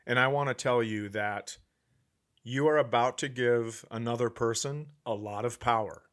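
Male host, Minnesota-raised, medium pitch, casual banter, dry teasing delivery. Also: normal speed, a bit bright. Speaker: podcast host.

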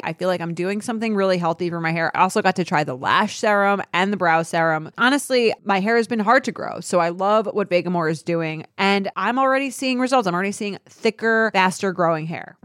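A woman's voice: lowish voice, no echo, studio quality. A woman co-hosting a podcast in a casual, conversational style. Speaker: podcast co-host. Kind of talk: casual chat, with host-read ad.

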